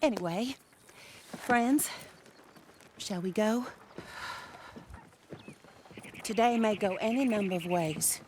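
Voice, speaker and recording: An actress playing a vengeful, grieving Old West widow in a game cutscene, theatrical and bitterly sarcastic with deliberate phrasing. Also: female voice, medium pitch, darkish tone, a little hiss or hum, natural voice. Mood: grimly resolute. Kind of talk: dramatic video game cutscene acting.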